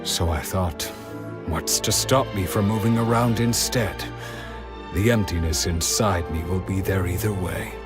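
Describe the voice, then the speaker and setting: An actor playing a weary older villager who has lost his memories, speaking in a slow, hollow, hesitant way. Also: low-pitched. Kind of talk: video game dub. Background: game music.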